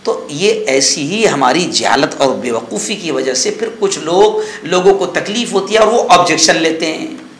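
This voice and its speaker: High, bright-voiced male cleric, echoey. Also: expressive, moderate pace, a little hiss or hum. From India. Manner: exhortative, scolding, conversational with rhetorical questions and repetition. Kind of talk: informal sermon-like news commentary.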